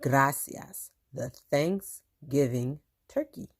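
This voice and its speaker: A woman reading a children's story aloud as a storytime teacher, expressive and deliberate. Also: extremely low pitch.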